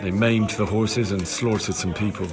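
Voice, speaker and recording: A man's voice: lowish voice, fairly even intonation, moderate pace, music in the background, lightly acted. An actor playing a dogged young medieval village man in a video game, plain-spoken, earnest and insistent. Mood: sombre. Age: young adult. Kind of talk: video game cutscene acting.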